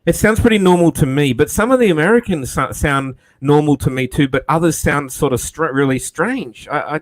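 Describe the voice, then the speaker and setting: A man from New South Wales, hosting a livestream in relaxed, hesitant casual chat. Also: fairly high pitch, brisk. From New South Wales, Australia. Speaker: livestream host.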